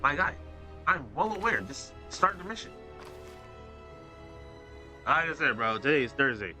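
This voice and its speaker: A man's voice: fairly high pitch, very animated, measured pace, sharp tone. A man giving casual gameplay commentary, teasing a friend with slang and trash talk. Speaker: gaming video creator. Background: game music.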